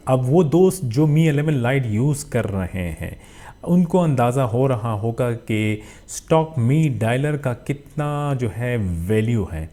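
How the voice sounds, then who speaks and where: A man with a Pakistani accent hosts a casual phone review. His voice is soft-edged and mellow, sitting at an ordinary male pitch. He leans on filler phrases and drops English tech terms into his explanations. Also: expressive, takes it slowly. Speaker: tech reviewer and channel host.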